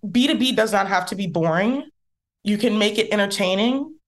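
A woman guest on an interview podcast speaks in a mid-range voice. She tells her story in a lively, emphatic way, keeping a relaxed, conversational tone. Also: medium pitch, fairly quick. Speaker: podcast guest, content strategist.

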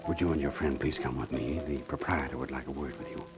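Very deep-voiced, polite waiter. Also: male voice, fast talker, over music. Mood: deferential. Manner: formal, polite. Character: deferential, discreet nightclub waiter. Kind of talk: 1950s radio drama acting.

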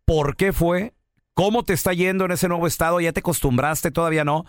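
A man's voice: on the high side, a little fast, clean tone, no room sound, clean studio sound. A man with a Mexican accent hosting a casual call-in radio show in an informal, slangy way.

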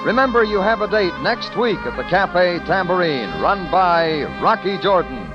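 A man's voice: sits high, animated, normal speed. A man working as a 1940s-style radio announcer, reading ads and credits with polished, emphatic salesmanship. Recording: muffled old radio broadcast, music behind. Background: music.

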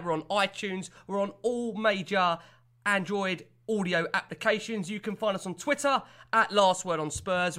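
Bright-voiced podcast host. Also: male voice, high-pitched. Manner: chatty, welcoming, exasperated. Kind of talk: casual podcast chat.

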